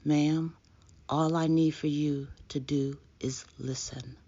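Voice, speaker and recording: A woman voicing a blind male street fortune-teller in a poem, calm, solemn and oracular. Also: low voice, slow. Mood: solemn foretelling. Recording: dull lo-fi recording.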